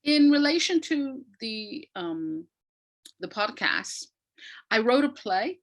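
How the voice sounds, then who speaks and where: An older woman speaking reflectively as an interview guest, in a measured, deliberate way with emphasis on key words. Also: on the high side, big pitch swings. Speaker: guest, playwright and retired academic.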